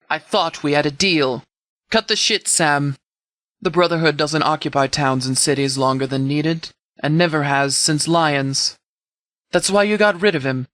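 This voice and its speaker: A man's voice: on the high side, fairly bright tone, no room sound, clean studio sound. A man playing a stern, blunt, battle-weary military leader in a video game, with a firm, clipped, authoritative delivery. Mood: angry, confrontational. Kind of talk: video game dialogue acting.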